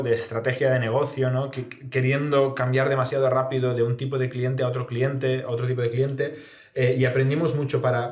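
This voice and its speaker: Male interview guest, Spain accent, mid-pitched, reflective and explanatory, roomy echo on the recording. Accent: Spanish from Spain. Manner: reflective, explanatory, often adds tag questions. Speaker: guest, startup founder. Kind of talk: conversational interview answers. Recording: echoey, reverberant room sound.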